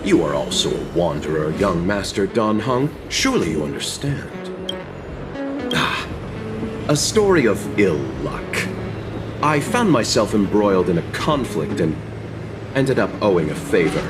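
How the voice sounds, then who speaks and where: An actor playing a courteous, composed wandering merchant in a video game, speaking formally and politely. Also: male voice, deep voice, big pitch swings, a little slow, music in the background. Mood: wry, resigned.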